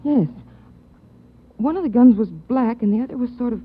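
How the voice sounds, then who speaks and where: An actress playing a shaken young woman recalling a crime she witnessed in a 1940s radio drama, halting and stammering. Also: a little high, animated, breathy edge. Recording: thin phone-like 1940s radio.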